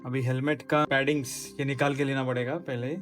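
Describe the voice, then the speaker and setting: A man's voice: medium pitch, little pitch movement, fairly quick, music in the background. A man vlogger casually explaining a hands-on installation step by step, giving practical tips as he works. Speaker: motorcycle vlogger.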